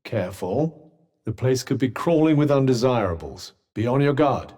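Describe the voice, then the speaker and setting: A man's voice: mid-range voice, lively intonation, moderate pace, a little mellow, close to an everyday voice. A man with a British accent voicing a haughty, sardonic magical cuff in a video game, speaking with dry, articulate understatement. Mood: urgent warning. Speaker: voice actor. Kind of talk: video game companion dialogue.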